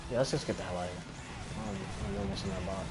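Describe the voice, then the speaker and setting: A man's voice: low-pitched, fast talker. Casual, sweary young man commenting on gameplay. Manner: profane, offhand, chatty. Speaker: gaming video host. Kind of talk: casual game playthrough commentary. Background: game music.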